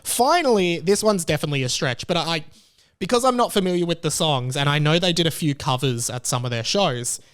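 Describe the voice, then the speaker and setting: A man hosts his own podcast with a slightly high, smooth and clear voice. He rambles through casual chat, restarting his sentences and jumping up in pitch when something strikes him as absurd. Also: a little fast. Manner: animated, incredulous, rambling with restarts. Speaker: podcast host.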